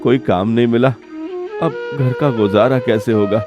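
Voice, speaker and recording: A man's voice: mid-range voice, moderate pace, highs cut off, close to an everyday voice. A man voicing a poor, humble village labourer in a cartoon, pleading and earnest. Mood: worried. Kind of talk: Hindi moral-story cartoon dialogue. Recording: music behind the voice.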